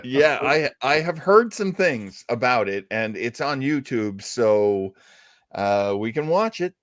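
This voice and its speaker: A man hosts a casual live show with a medium-pitched voice. He is halting and self-deprecating. The sound is very dry. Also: very animated, fairly bright tone, no echo. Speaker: radio stream host.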